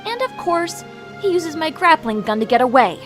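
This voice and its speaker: A woman's voice: sits high, sing-song, normal speed. A woman playing a feisty young crime-fighting sidekick in a dramatic, sarcastic audio drama style. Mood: anxious, determined. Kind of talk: audio drama acting with first-person narration. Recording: echoey long reverb, music underneath.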